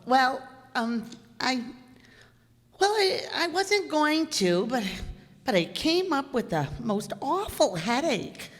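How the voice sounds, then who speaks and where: An actress playing a flustered teenage daughter in a family radio drama, stammering through excuses. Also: female voice, high-pitched, sing-song, takes it slowly, full character voice. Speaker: community radio actor. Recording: reverberant live hall.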